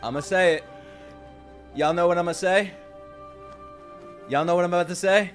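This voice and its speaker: A young adult American man giving excitable, slangy, crude live reactions as a streamer, casually chatting and exclaiming. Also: high voice, normal speed. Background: music.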